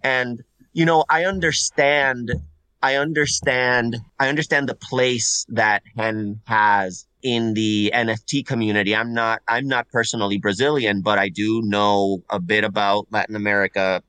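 A man's voice: fairly low pitch, fairly even intonation, measured pace, pushed and bright, clean tone, dry recording, poor audio. A man from Puerto Rico whose first language is Spanish, a guest in a casual podcast interview, talking in a rambling way with restarts and repeated words. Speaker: guest artist.

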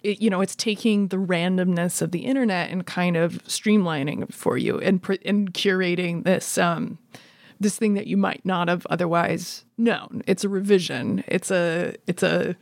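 Lowish-voiced woman in dry, close sound. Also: moderate pace, vocal fry, a little mellow, no room sound. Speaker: podcast discussion participant. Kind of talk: casual analytical discussion.

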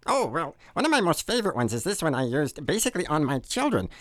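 Silly high-pitched cartoon pack rat. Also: male voice, sing-song, fast talker, a bit bright, dry recording. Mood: playful. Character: comical pack rat made up to amuse children.

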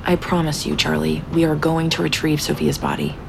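An actress playing a determined expert cave diver in an audio drama, direct and firm, with a probing way of talking. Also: female voice, low voice, fairly even intonation, fairly quick, creaky, background noise. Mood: solemn. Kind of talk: naturalistic audio drama acting.

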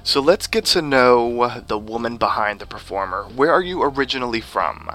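A man's voice: mid-range voice, animated, sharp tone, soft background music. A man hosting an interview show, asking questions in an upbeat, enthusiastic way. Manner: enthusiastic, quick to say "fantastic" and "awesome". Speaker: interview host.

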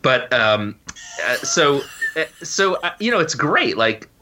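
A man speaking as a guest author in a conversational interview, informal and halting, often breaking off to rephrase. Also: fairly high pitch, big pitch swings, bright, forceful tone. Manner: halting, informal, frequent self-interruptions and "you know". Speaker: guest author and law professor.

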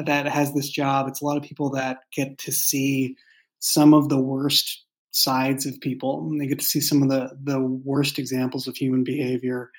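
A man speaking casually in an interview as a writer, thoughtful and halting, often repeating words as he goes. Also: mid-range voice, rough recording. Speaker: writer and creator of an audio drama. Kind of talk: casual behind-the-scenes interview.

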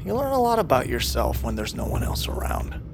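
A man's voice: mid-range voice, wildly swooping pitch, fairly quick, noisy background. An actor playing a laid-back, rugged male loner scientist who talks casually, in a naturalistic audio drama. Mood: relaxed. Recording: dramatic music score behind.

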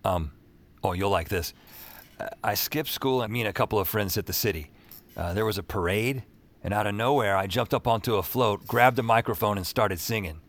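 A man's voice: on the low side, fairly quick, natural voice. An actor playing a wry, self-deprecating young man who narrates his bewildering adventures in an audio drama. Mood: reminiscing. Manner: casual, wry, colloquial. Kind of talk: audio fiction acting with first-person narration.

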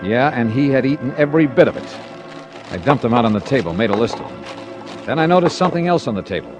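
An actor playing a terse, hard-boiled insurance investigator in a 1950s radio drama, brisk, probing and matter-of-fact. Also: male voice, medium pitch, expressive, over music, close to an everyday voice. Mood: intent, measured. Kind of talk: 1950s radio detective narration and dialogue.

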